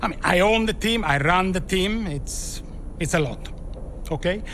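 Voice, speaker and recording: A man's voice: high voice, measured pace, fairly bright tone, slight background noise. An actor playing a flamboyant, commanding male race team owner who speaks formally and teasingly to his staff in a game cutscene. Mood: showy.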